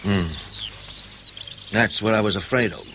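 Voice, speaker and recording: An actor playing an unflappable male intelligence officer in a radio drama, laconic, casual and quietly pressing. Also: lowish voice, unhurried, background noise, natural voice. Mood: quietly probing.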